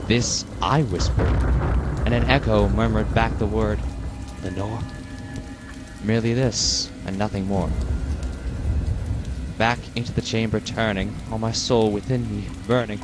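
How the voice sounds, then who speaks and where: A man giving a slow, brooding, theatrical dramatic reading of a poem. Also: fairly low pitch. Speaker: reader. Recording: steady rain noise behind voice.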